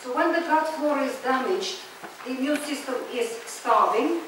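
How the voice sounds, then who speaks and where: A woman giving a lecture in short measured sentences, pausing after each one, recorded from far off in a lecture hall. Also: sits high, lively intonation, fairly bright tone, slight background noise. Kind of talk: lecture delivered in short phrases for an interpreter. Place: lecture hall at a business school.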